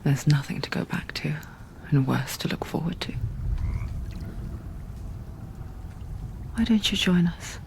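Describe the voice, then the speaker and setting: A woman playing a knowing narrator spirit in a video game, confiding slowly and intimately to the listener in a breathy whisper. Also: low-pitched, a little hiss or hum.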